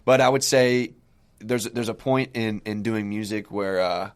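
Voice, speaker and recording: Soft-spoken young man, low voice. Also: steady tone, a little slow, clear, resonant tone. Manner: soft-spoken, hesitant, many restarts and fillers. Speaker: musician guest. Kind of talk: casual interview chat.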